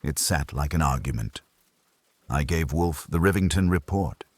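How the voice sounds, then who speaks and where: A man narrating a first-person detective story in a measured, dramatic storytelling way, with a husky low voice. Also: dry recording. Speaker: audiobook narrator.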